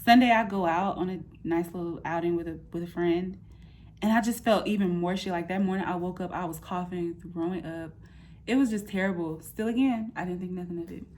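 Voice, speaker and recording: A woman's voice: on the low side, brisk, a little hiss or hum. Candid woman vlogging casually. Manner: candid, informal, slangy, animated retelling with quoted asides. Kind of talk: casual personal update vlog. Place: apartment room, indoors.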